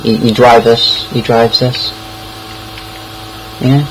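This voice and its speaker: A young man with a British accent tells personal stories in a casual, rambling way. His voice sits at a middling pitch, and he often stalls and repeats words. Noticeable background noise runs through the homemade-sounding recording. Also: little pitch movement, slow. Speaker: online storyteller. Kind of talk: casual anecdotal storytelling.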